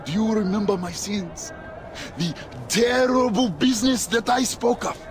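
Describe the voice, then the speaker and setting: An actor playing a zealous, middle-aged preacher haunted by sin in a video game, with a grandiose, sermonizing delivery. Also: male voice, high voice, a little slow, music in the background. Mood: fervent. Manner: fervent, grandiose, quoting scripture like a sermon. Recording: game audio with clear outdoor ambience noise.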